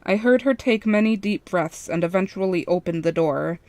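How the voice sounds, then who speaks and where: A woman's voice: on the low side, normal speed, darkish tone, no room sound. A woman reading a first-person fan fiction story as its narrator.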